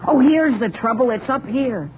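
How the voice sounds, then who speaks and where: A man's voice: extremely high pitch, expressive, moderate pace. A hapless, scheming American teenage boy in a vintage radio comedy. He sounds anxious and earnest. The recording is narrow and phone-like, with heavy noise. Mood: earnest, flustered. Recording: noisy, narrow-band old radio recording.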